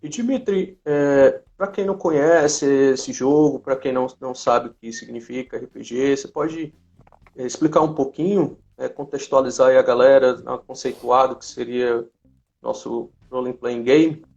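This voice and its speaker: A man's voice: mid-range voice, steady tone, normal speed, creaky, darkish tone. A man, hosting a casual live chat in an informal, hesitant way on lo-fi audio. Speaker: host, business administrator. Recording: dull lo-fi live stream audio.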